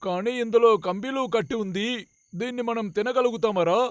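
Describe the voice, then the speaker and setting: A man's voice: very high-pitched, brisk, no room sound, studio quality. A man voicing a childlike, curious younger bear in a dubbed children's cartoon, eager and naive.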